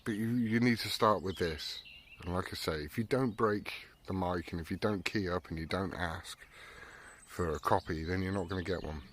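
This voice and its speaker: Low-voiced British man over clear background noise. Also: moderate pace, fairly bright tone. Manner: rambling, blunt, sweary. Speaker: hobbyist vlogger. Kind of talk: casual unscripted chat.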